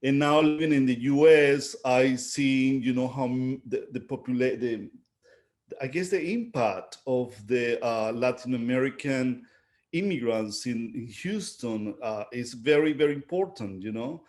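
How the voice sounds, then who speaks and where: Halting middle-aged man with Venezuelan accent. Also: medium pitch, a little mellow. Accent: Venezuelan Spanish. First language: Spanish. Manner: halting, searching for words. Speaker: visual artist being interviewed. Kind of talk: unscripted interview talk, reflective.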